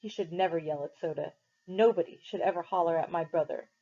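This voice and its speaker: A woman's voice: fairly low pitch, fairly quick, mellow. A woman voicing an upset young teenage boy who stammers and pleads, on a dull lo-fi recording. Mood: furious.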